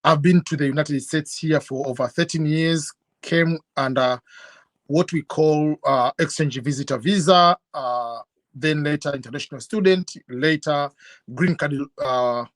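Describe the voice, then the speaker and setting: A middle-aged man from Tanzania, a guest telling his story in an interview in an earnest, measured way. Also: a little high, fairly bright tone, dry recording. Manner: earnest, measured, with fillers and restarts. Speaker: guest YouTuber.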